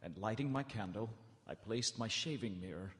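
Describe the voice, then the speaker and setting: An actor playing an earnest, frightened captive in a live radio horror drama, with halting, theatrical delivery. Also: male voice, on the low side, expressive, a bit bright. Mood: anxious dread. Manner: theatrical, halting, anxious repetition. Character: earnest, frightened Englishman held prisoner, narrating his journal. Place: large hall stage, live broadcast. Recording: live theatre hall, long reverb.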